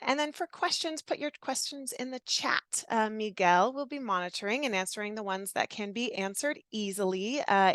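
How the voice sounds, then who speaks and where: A friendly woman from Washington State runs a grants info session over a video call. She talks at an average pitch in a casual, chatty way, with plenty of ums and uhs. Her sound is dry and close, with no room echo. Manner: friendly, chatty with frequent fillers. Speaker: program coordinator. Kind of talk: webinar presentation. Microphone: computer mic on a video call.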